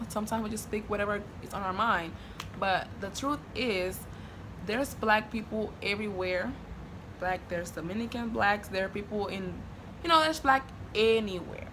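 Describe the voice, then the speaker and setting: A woman's voice: medium pitch, background noise. A young woman from Honduras with a Spanish accent, chatting casually to her camera with lots of fillers and restarts. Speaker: vlogger.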